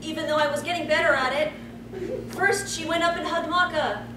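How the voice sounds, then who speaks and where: Heard from across a noisy, echoey room, a young woman reads aloud for comedy. Her voice is high and projected, with wry asides between lines. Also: steady tone, brisk, distant mic. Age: young adult. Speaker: convention panel host. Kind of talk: comedic live reading of fan fiction. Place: small convention panel room. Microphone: far camera.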